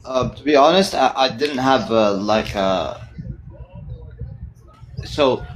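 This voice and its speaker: Measured man from Palestine. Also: mid-range voice, slight background noise. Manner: measured, thoughtful, many pauses and fillers. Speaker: guest. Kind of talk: casual political discussion.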